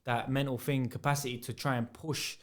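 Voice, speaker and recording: A young man with a London accent talks quickly and loosely as a podcast guest. His stories ramble along, full of street slang and restarts, at a middling pitch. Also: flat delivery. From England. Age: young adult. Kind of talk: casual podcast chat.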